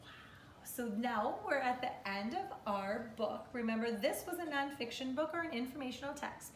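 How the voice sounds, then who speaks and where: A woman's voice: high-pitched, animated, moderate pace. A young woman teacher giving an instructive read-aloud lesson for young children, explaining step by step in a living room. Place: small living room corner indoors. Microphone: camera a few metres away. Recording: echoey room with clear background noise.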